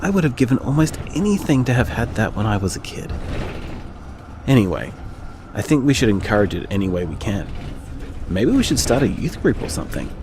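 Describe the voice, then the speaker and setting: A man's voice: mid-range voice, sing-song, brisk, noisy background. A man playing a kind-hearted, affectionate bar owner, rambling casually and earnestly as if leaving a voicemail in an audio drama. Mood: warm, earnest.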